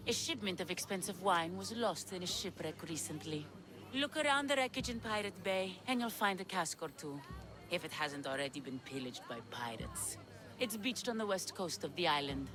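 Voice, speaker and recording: A woman playing a sly witch in a video game, with a deliberate, theatrical, coaxing delivery. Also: on the low side, animated, music in the background, close to an everyday voice. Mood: assured, scheming. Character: sly witch of Hecate peddling potions, slow, sultry. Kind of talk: dramatic video game acting.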